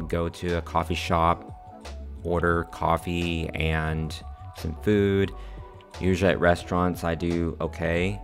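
A man from the United States narrates his own video in a calm, earnest way, his voice low and held fairly level. He speaks over soft background music. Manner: calm, earnest and friendly, uses y'all. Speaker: vlogger. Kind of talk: voice-over narration over scenic footage.

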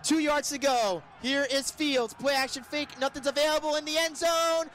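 Male radio play-by-play announcer, high-pitched, excitable football caller who draws out the big moments. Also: normal speed, soft background music. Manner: excitable, shouts and stretches words on big plays. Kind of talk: live football play-by-play commentary. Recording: live radio sports broadcast.

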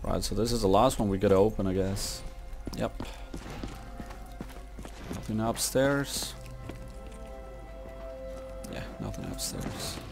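A man's voice: fairly low pitch, wildly swooping pitch, slow pace, vocal fry, pauses often. A man giving casual gameplay commentary, muttering to himself as he plays. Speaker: gaming video creator. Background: video game music and sounds.

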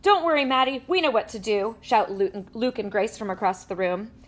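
A woman reading a children's picture book aloud with animated storytelling and playful sound effects. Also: fairly high pitch, fairly quick. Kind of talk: expressive read-aloud. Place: indoors at a table with a book.

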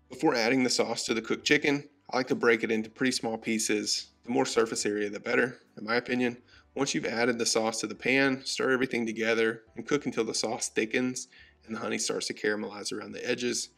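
A man in his thirties calmly walking through a recipe step by step as a cooking channel host, recorded in a home kitchen on a studio mic. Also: on the low side, gravelly. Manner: friendly, measured instructional delivery. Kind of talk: scripted recipe walkthrough. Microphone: studio mic on stand in frame.

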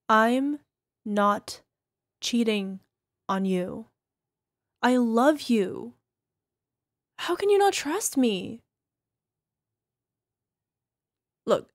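A woman acting as a toxic, gaslighting young girlfriend in a realistic ASMR roleplay, dismissive and exasperated. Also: on the high side. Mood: defensive, exasperated. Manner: exasperated sighs, scornful, halting defensive delivery. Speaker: voice actor.

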